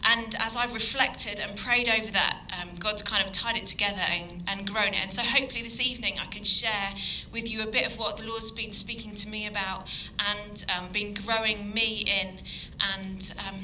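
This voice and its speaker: A woman from Yorkshire with a Yorkshire accent giving an earnest evening sermon, full of ums and pauses. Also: medium pitch, fairly even intonation, pushed and bright, a little hiss or hum, poor audio. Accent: Yorkshire English. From Yorkshire, England. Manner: earnest, hesitant with frequent ums. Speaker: guest speaker.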